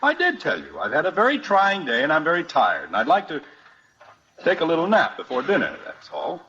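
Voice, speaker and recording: A man's voice: on the high side, huge pitch swings, a little fast, bright, forceful tone, full character voice. A man playing a coaxing, easily exasperated middle-aged father in a 1950s radio family comedy. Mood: defensive. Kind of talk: 1950s radio sitcom acting. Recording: dull, hissy old radio recording.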